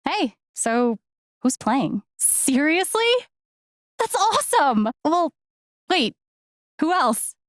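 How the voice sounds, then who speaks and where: A woman's voice: high-pitched, very animated, slow pace, long pauses, dry recording, exaggerated voice. An actress playing an earnest, caring and determined young woman in naturalistic, conversational video game dialogue. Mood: excited. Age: young adult.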